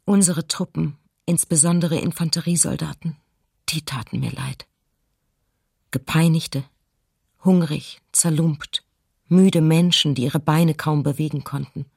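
Sober writer reading her diary, creaky husky voice, dry close sound, radio play. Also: low voice, fairly even intonation, a little slow, a little mellow, dry recording, exaggerated voice. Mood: pitying. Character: compassionate, sober woman writer aged thirty-seven.

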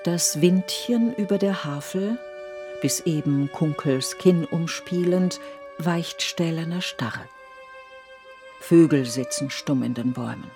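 Radio play narrator, middle pitch, measured poetic delivery, scripted literary narration, faint music behind.

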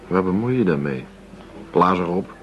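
Low-voiced streetwise tough. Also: male voice, lively intonation, normal speed, a bit bright, dull audio, slight background noise. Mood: irritated, tough. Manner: blunt, streetwise. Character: cocky, short-tempered male underworld go-between, secretly undercover. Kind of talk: Dutch radio drama dialogue.

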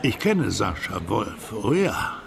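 Gravelly, animated, worldly drinking companion. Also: male voice, fairly low pitch, slow, a crowd in the background, exaggerated voice. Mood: moved, knowing. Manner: conversational, expansive, rhetorical asides. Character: worldly, garrulous Russian émigré drinking companion, sentimental. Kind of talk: radio drama dialogue.

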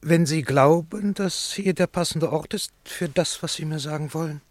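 A man's voice: a little high, moderate pace, no room sound, natural voice. An actor playing a proud, guarded wealthy father with a guilty secret, curt and formal in a radio drama. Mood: cold, guarded. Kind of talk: German radio drama acting.

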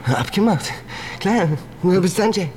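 An actor playing an easygoing, excitable young male coworker in a radio drama. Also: sits high, takes it slowly, slight background noise.

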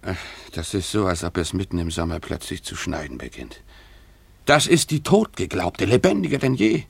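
A man playing a brooding, uneasy Russian gentleman in a German radio drama, speaking in a hesitant murmur. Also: low-pitched, big pitch swings, normal speed, gravelly, slightly rough, dry recording, full character voice. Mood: astonished.

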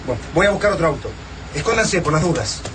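A man with an Argentine Rioplatense accent acting an excitable, streetwise young survivor in a radio drama. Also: a little high, fast talker, noisy background. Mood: alert.

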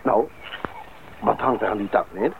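Lively, steady jungle expedition man. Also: lowish voice, normal speed, gravelly, narrow, tinny audio. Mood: concerned. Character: steady, reassuring male expedition member in jungle. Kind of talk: radio drama acting. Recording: thin old radio, faint hiss.

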